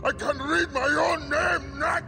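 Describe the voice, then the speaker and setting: A man's voice: very high voice, fairly even intonation, unhurried, creaky, full character voice. A man playing a taunting, threatening villain in a dramatic video game cutscene. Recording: loud music behind the voice.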